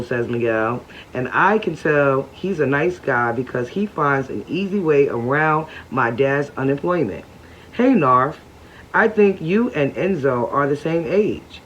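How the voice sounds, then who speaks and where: An adult reading a novel aloud at home slowly and carefully, with small stumbles. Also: medium pitch, dull audio, a little hiss or hum. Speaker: read-aloud reader and reading coach.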